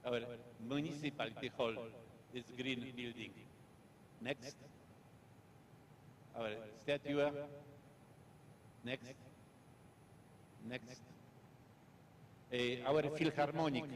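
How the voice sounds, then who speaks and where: Polish guy lecturing slow, choppy little phrases, mid pitch, bit of hum in the back. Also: steady tone. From Poland. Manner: halting, repetitive, short fragmented phrases. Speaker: guest lecturer. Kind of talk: academic lecture with slides. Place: lecture hall.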